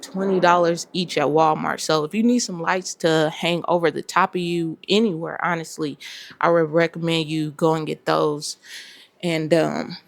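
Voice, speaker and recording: Low gravelly woman getting over a cold. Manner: relaxed, filler-heavy, with many "like"s. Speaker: clothing brand owner. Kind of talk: casual vlog tour. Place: small metal storage unit, indoors.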